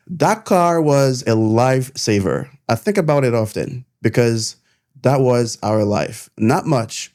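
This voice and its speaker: A man's voice: medium pitch, darkish tone. Earnest Haitian-accented man telling his story. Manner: earnest, measured, with pauses between phrases. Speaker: nurse turned content creator. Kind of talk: personal storytelling to camera.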